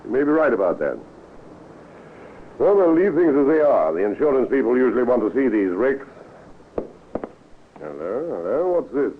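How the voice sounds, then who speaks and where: A police superintendent acted by a man in a vintage radio play. He is brisk and authoritative, musing in widely varied tones. The sound is narrow, with faint noise. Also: medium pitch, sing-song, lightly acted. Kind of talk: old-time radio acting. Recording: scratchy, tinny old-time radio recording.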